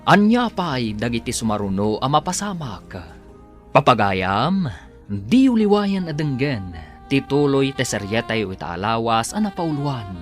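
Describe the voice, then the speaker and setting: A man's voice: mid-range voice, sing-song, a little slow, faint music behind. Dramatic male radio serial announcer. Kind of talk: scripted serial narration.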